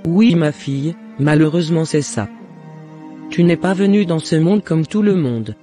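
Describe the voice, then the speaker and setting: Man voicing a mellow, remorseful cartoon mother. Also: fairly high pitch, normal speed, faint music behind, natural voice. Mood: solemn. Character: remorseful middle-aged mother confessing to her daughter. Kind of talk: scripted cartoon dialogue.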